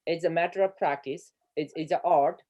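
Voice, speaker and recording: A man's voice: on the high side, fairly even intonation, moderate pace, darkish tone, highs cut off, a little hiss or hum. A man from Nepal with a Nepali accent, answering questions in an online education talk. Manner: earnest, repeats words, asks "right?". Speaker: guest speaker, educator. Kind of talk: online lecture Q&A.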